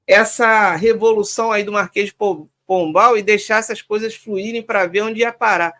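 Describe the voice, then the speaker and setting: A Brazilian man hosting a live stream in a relaxed, informal way, reading viewer comments and wrapping up. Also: high voice, normal speed. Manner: relaxed, informal sign-offs.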